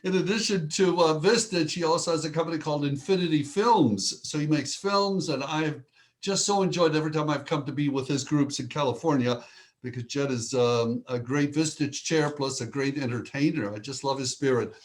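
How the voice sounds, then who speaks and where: Man, host, eager, praising, fairly high voice, video call. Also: moderate pace. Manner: warm praise, eager questions. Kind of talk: enthusiastic introductions on a video call.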